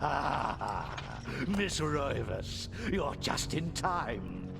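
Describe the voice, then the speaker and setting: Undead sorcerer game villain, gloating and theatrical, pitch swoops around, loud music behind. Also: male voice, sing-song, extremely slow, vocal fry, full character voice. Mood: gloating menace. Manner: pompous, theatrical, menacing. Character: gloating ancient undead sorcerer serving a dark god. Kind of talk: video game villain acting.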